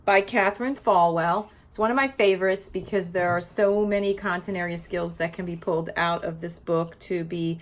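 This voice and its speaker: A woman's voice: lowish voice, steady tone, normal speed, few pauses. A woman teacher giving an informal, explanatory lesson built around reading a children's picture book aloud. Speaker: teacher presenting educational read-aloud videos for teachers.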